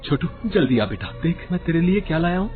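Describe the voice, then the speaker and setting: Man playing a humble, lively-voiced poor potter. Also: fairly high pitch, fast, phone-quality sound, faint music behind. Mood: fatherly, happy. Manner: polite, deferential. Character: humble, kind poor potter and loving father. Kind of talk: Hindi cartoon moral story dialogue.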